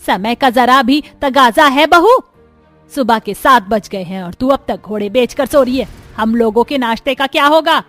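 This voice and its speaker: A bossy older mother-in-law from a cartoon family story, acted by a woman. Her high voice scolds and commands, laying down the rules of the house. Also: normal speed, a bit bright, dry recording, faint music behind, close to an everyday voice. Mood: scolding. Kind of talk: Hindi cartoon family drama voice acting.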